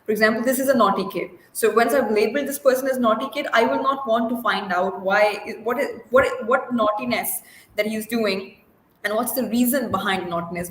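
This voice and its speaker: A woman with an Indian English accent and a mid-pitched voice, hosting a live session over a video call. She agrees often and asks long questions with hesitant fillers. Her voice echoes in a roomy space over faint noise. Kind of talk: live online interview.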